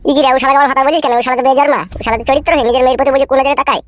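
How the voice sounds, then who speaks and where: Man putting on a squeaky indignant young man, crude, complaining, breakneck pace, music underneath. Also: steady tone, racing. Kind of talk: comedy cartoon dubbing.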